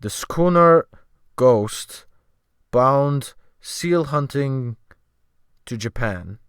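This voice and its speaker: A man voicing a timid, frank Scandinavian sailor who speaks slowly and methodically with a slight accent, as in an audiobook. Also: mid-range voice, lively intonation, clean tone, dry recording, clean studio sound, pitched above the performer's own voice. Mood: mild, methodical. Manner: slow, methodical, groping for words. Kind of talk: dramatic audiobook character voice.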